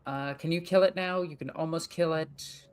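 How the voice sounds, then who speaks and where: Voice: mid-range voice, fairly even intonation, normal speed, noisy background. A young adult gaming streamer chatting casually and rambling while playing a strategy game, swearing now and then.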